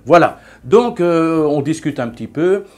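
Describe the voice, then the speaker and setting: A man's voice: fairly high pitch, animated, unhurried, darkish tone. Man chatting in a vlog. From Belgium. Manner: chatty, hesitant with frequent fillers and restarts. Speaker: vlogger. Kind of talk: casual vlog monologue.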